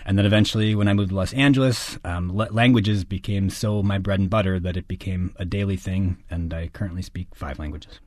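A middle-aged American man, an actor, answering interview questions in an earnest, articulate and measured way. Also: deep voice, fairly even intonation, darkish tone, no echo. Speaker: actor and guest.

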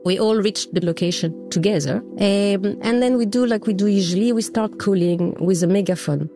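A woman's voice: medium pitch, moderate pace, dark tone, faint music behind. A woman who heads an aid mission, explaining plainly in an interview and sometimes repeating herself. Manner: explains plainly, with some repetition.

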